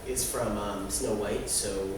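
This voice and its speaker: A young man giving an informal student presentation in a halting way, with false starts and repeated phrases. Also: lowish voice, fairly even intonation, far from the mic. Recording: very echoey, noisy room from afar.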